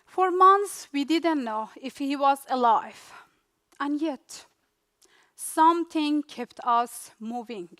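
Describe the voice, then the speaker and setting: A young woman from Afghanistan with an Afghan accent, whose first language is Dari, giving a rehearsed personal storytelling speech in short, deliberate phrases. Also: sits high, lively intonation, a bit bright. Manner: slow, deliberate delivery in short dramatic phrases with pauses. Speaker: refugee speaker.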